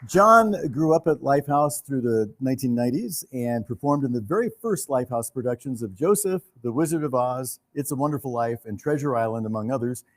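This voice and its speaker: A man's voice: mid-range voice, lively intonation, a little fast, few pauses, slight background noise. A man hosting an event, chatting casually on stage with a guest. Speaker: event host interviewing a guest.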